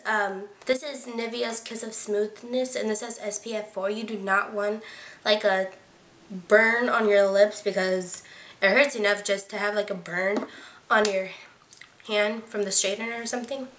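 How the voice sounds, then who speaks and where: A woman's voice: fairly high pitch, a bit bright, a little hiss or hum. A teenage girl reviewing beauty products in a casual chat to her webcam, rambling with lots of fillers. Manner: chatty, filler-heavy, lots of "like" and "um". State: voice sounds odd to her today. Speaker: beauty vlogger. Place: small bedroom, indoors. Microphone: webcam at close range. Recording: roomy bedroom echo.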